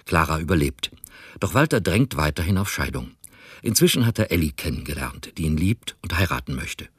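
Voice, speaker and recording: A man with an extremely low, husky voice narrates a crime story. He reads in a measured, dramatic way, recorded close and dry. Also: sing-song, no room sound. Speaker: radio play narrator.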